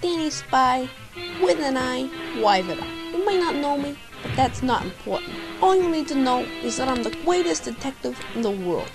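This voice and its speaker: A woman's voice: high-pitched, expressive, moderate pace, over music, poor audio. A woman playing a bumbling, self-important private detective in an amateur radio drama, with a pompous, theatrical delivery. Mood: self-important narrating.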